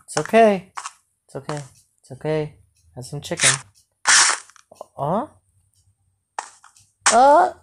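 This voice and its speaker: Low-voiced female gamer, noisy recording. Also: sing-song, slow, long pauses. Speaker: gamer playing a Minecraft roleplay. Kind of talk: improvised roleplay gameplay commentary. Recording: noisy phone gameplay recording with clicks.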